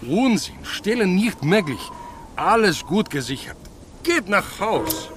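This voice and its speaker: Gruff, slow diamond owner. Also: male voice, sits high, sing-song, background noise, exaggerated voice. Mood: dismissive. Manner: gruff broken grammar. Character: gruff, dismissive foreign male diamond owner. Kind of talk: children's audio drama acting.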